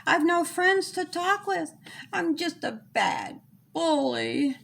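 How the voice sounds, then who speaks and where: A woman's voice: very high-pitched, expressive, takes it slowly, vocal fry, a bit bright, pitched above the performer's own voice, full character voice. A woman voicing a big, lonely, remorseful boy bully from a storybook, speaking plaintively. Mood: lonely, sorry. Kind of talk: children's storybook character voice.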